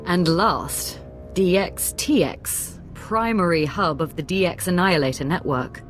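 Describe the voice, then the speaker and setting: An actress playing a poised, businesslike imperial diplomat and spymaster in a video game, speaking formally. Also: female voice, on the low side, a little slow, faint music behind, close to an everyday voice. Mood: smooth, formal. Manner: formal, polished. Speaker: imperial special operations chief.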